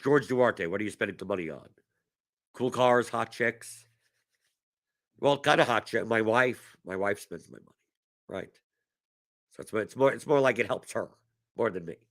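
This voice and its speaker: A man with a bright, forceful voice hosts a casual fantasy sports livestream and answers questions from chat. His voice is going hoarse from a cough. He rambles and repeats words as he restarts his sentences. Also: lowish voice, moderate pace, pauses often. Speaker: fantasy sports strategy show host.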